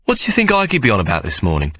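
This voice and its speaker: A man voicing a tough young boy who protests in rough street grammar. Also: lowish voice, huge pitch swings, rapid-fire, pushed and bright, no echo, heavily acted. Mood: protesting.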